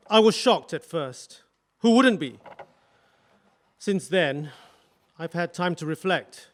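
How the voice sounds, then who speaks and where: A man's voice: high voice, animated, takes it slowly, long pauses. A man playing a subdued, remorseful husband on the stand. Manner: quiet, hesitant, remorseful answers. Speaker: witness in a courtroom drama. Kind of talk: audio drama acting.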